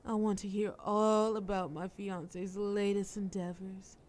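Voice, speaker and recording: A woman's voice: medium pitch, little pitch movement, a little slow, darkish tone, natural voice. A woman playing a cunning, flirtatious mafia boss in a scripted audio roleplay, sultry, persuasive and teasing, with cool threat. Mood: playful, flirtatious.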